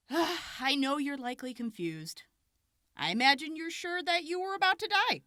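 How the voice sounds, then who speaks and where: An actress playing a composed, authoritative young raider leader in an audio drama, speaking in a measured, deliberate way. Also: female voice, high voice, expressive, pushed and bright, no echo, studio quality. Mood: solemn, persuasive. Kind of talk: post-apocalyptic audio drama acting.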